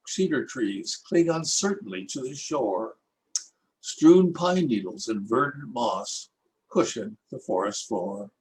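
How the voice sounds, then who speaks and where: An older Canadian man reading his own rhyming poems aloud in a measured, lilting recitation. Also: mid-range voice, slight background noise, poor audio. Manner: lilting, expressive recitation with rhythmic rhyme. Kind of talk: slow, measured poetry reading.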